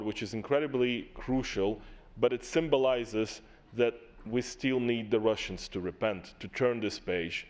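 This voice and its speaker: A man's voice: lowish voice, a little mellow. A man delivers a formal speech in a large assembly chamber, pressing his points with emphatic repetition. He talks into a desk microphone with the weight of a delegate addressing colleagues. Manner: impassioned, emphatic, repeats key words. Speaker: parliamentary delegate.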